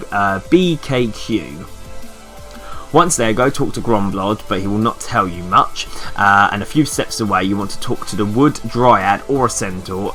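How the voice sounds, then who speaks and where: Low-voiced man narrating a game walkthrough. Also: fairly bright tone. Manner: instructional, step-by-step. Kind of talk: informal walkthrough commentary. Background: background music.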